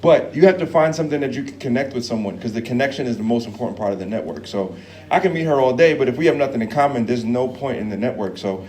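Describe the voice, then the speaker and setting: A man's voice: medium pitch, expressive, fast talker, darkish tone. A man on a panel giving blunt networking advice with a joking aside.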